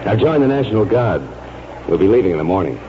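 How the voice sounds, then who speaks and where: A man's voice: fairly low pitch, animated, fast, close to an everyday voice. A man acting a grave, earnest, disillusioned newspaperman in 1940s radio drama style. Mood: resolute. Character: earnest, disillusioned newspaperman cousin, turning to duty. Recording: muffled, noisy 1940s radio recording.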